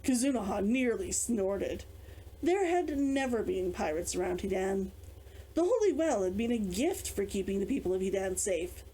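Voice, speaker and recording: A woman reading a fantasy novel aloud as its author, with expressive storytelling. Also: mid-range voice, moderate pace, breathy edge, low-quality recording. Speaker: author reading own book.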